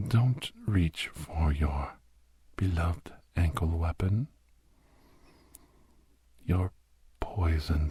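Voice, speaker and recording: A man playing a menacing, cultured, hungry villain in an ASMR drama, slow and deliberate, in a breathy whisper. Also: low-pitched, a little mellow, a little hiss or hum. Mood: gloating menace. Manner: slow, deliberate, halting, savoring phrases. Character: menacing, cultured captor, hungry island-dwelling werewolf villain.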